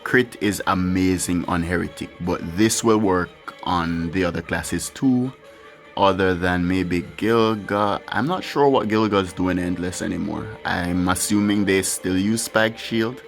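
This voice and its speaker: A man's voice: deep voice, unhurried. A man giving casual, rambling gameplay commentary. He swears now and then and talks candidly as he plays. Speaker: gaming channel host. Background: his own music playing faintly.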